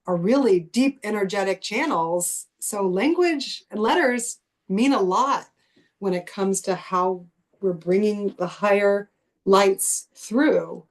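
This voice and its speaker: A woman's voice: mid-range voice, slow. A woman hosting and guiding a spiritual writing workshop, encouraging and loosely phrased with frequent restarts. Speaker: host and writing group leader.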